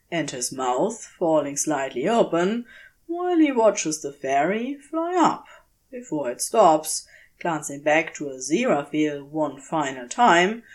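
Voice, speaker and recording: A woman reading scripted fiction aloud as an audiobook narrator, in a gentle, expressive, measured storytelling manner. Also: on the low side. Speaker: audiobook reader of fan fiction.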